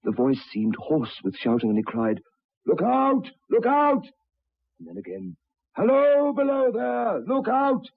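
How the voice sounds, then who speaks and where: An actor playing a troubled, educated railway signalman in an old radio drama, speaking haltingly and repeating his words. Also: sits high, wildly swooping pitch, vocal fry, heavily acted. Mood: frantic warning. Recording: tinny, band-limited old radio recording.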